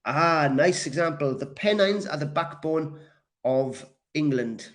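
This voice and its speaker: A man from Wales teaching a live English class, deliberate and encouraging, repeating key words for learners as he responds to comments. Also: on the high side, lively intonation. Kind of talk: live grammar lesson, reacting to comments.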